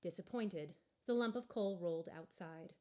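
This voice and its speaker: A woman narrating a story aloud from a book in an expressive, deliberate and wry way. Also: lowish voice. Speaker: storybook reader.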